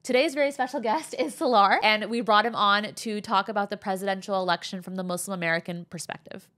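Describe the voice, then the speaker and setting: A young woman hosting a casual interview show, talking fast and earnestly, her voice stuffy and nasal from a sinus infection. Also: medium pitch, fairly bright tone. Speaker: talk show host.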